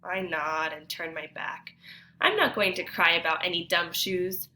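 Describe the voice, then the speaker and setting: Lady teacher doing a storytime read aloud, bright clear-cut delivery, asks the kids questions as she goes. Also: lowish voice, a little hiss or hum. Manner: addresses children directly, deliberate and animated. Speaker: teacher reading a picture book aloud to children.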